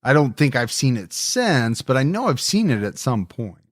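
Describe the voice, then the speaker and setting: A man's voice: mid-range voice, sing-song, moderate pace, no room sound, studio quality. A man hosting a true-crime podcast in casual, earnest chat, often repeating words as he stresses a point. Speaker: podcast host.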